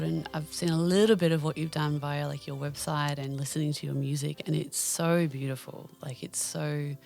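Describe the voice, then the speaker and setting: Woman podcast host, low voice, gravelly, gushing chatty manner, faint music behind. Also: darkish tone. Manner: gushing, laughs often, many fillers. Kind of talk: casual interview chat.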